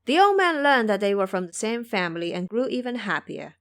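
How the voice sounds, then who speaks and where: A woman's voice: mid-range voice, sing-song, brisk. A woman narrating a scripted audio drama in a storytelling style.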